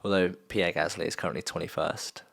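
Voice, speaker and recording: A man's voice: sits low, steady tone, a little fast, gravelly, a bit bright. A young man with a southern English accent, co-hosting a casual sports podcast in a laid-back, understated way. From South of England. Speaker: podcast co-host.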